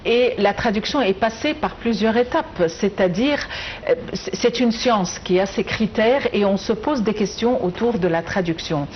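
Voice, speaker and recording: A woman hosts a television interview and puts her questions in a measured, formal tone. Her voice comes through slightly muffled, with a faint hiss in the background. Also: mid-range voice. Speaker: TV host.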